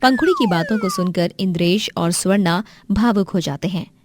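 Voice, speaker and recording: A woman's voice: medium pitch, moderate pace, noisy background. A woman reading scripted narration for a moral story as its storyteller.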